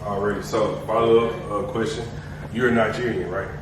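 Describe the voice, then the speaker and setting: Host: mid-pitched man, loose slangy questioner, distant mic, noisy room. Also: normal speed, heavy reverb. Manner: relaxed, slangy question-asking. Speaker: podcast host. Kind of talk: casual podcast interviewing.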